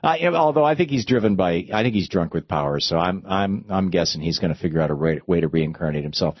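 A male talk radio host with a lowish voice, speaking into a studio microphone. He talks through arguments at length and often restarts his sentences. The sound is very dry and slightly dull. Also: expressive, fast talker, no room sound. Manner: discursive, argumentative, stops and restarts mid-sentence.

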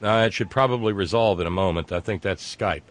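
A man's voice: fairly low pitch, a little fast, no echo. A man hosting a casual live show, rambling with many fillers and restarts. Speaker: host. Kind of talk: casual livestream talk.

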